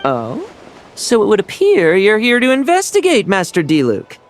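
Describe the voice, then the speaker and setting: A man's voice: sits high, wildly swooping pitch, normal speed, slight background noise. A man voicing a dry, wry, reluctant knight in a game cutscene.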